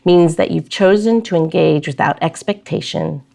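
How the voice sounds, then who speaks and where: Low-pitched woman hosting a podcast. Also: normal speed, vocal fry, mellow. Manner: informal, joking. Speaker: podcast host.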